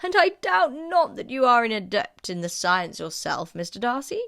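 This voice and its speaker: A woman voicing a gallant, garrulous older gentleman in an audiobook, courtly and effusively flattering. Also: on the high side, moderate pace, vocal fry, pitched above the performer's own voice, exaggerated voice. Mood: genial, chatty. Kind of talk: audiobook character acting.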